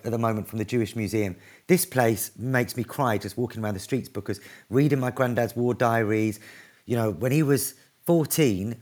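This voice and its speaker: A man speaks in an average-pitched voice with warm earnestness. He is an author answering a question at a book event. His talk is quick and emotional, full of restarts and asides. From North East London, England. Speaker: author and activist, guest.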